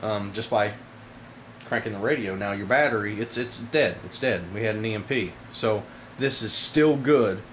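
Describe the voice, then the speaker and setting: A man giving a casual hands-on product demonstration to viewers, informal and halting, with frequent pauses. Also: fairly low pitch, a bit bright. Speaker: product reviewer, video host. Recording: noisy amateur camera audio.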